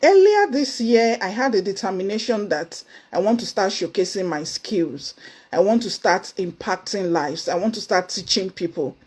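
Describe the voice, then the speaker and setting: A Nigerian-accented woman sits before the camera and talks straight to her viewers in an earnest, motivational tone. She drives her points home with emphatic repetition and sudden high exclamations. Her voice sits at an ordinary middle pitch for a woman. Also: animated, moderate pace, darkish tone, slightly rough. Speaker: motivational speaker. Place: indoors, seated before camera.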